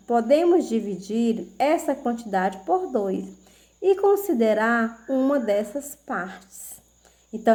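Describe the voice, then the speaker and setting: A woman teaches a math lesson to children. Her voice sits a little high, and she talks slowly. She keeps checking that the children follow along. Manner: slow, patient, checks in with "tá certo?".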